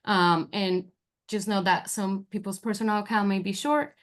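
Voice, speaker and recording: A young woman with a Colombian accent, a forensic interviewer presenting a webinar and explaining training clips in a relaxed way, with frequent fillers. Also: mid-range voice, normal speed. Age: young adult. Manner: relaxed, with many fillers and restarts.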